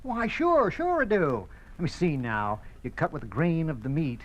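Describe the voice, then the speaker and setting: A bashful, good-natured old countryman. His voice is highish and bobs about as he rambles and hesitates. It is vintage radio drama with clear recording noise. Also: big pitch swings, normal speed, highs cut off. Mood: cheerful, muddled. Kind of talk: 1950s radio drama acting.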